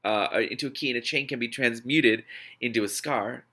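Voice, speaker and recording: A man's voice: mid-range voice, brisk, pushed and bright. A man voicing a blunt, easily flustered young heroine in a novel reading, informal and hesitant.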